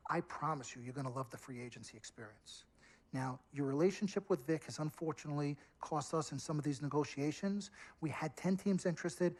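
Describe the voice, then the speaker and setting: An actor playing a pushy, fast-talking male sports agent in a video game, slick and emphatic in his delivery. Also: a little high, a little mellow, lightly acted, rough recording. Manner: slick, emphatic, repeats words for effect. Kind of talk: cinematic sports game dialogue.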